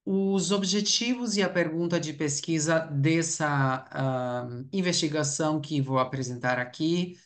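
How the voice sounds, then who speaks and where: A man's voice: a little high, unhurried, hardly any pauses. A man speaks as an academic researcher at a conference. His delivery is formal and explanatory. He hesitates often as he sets out each point. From Uruguay.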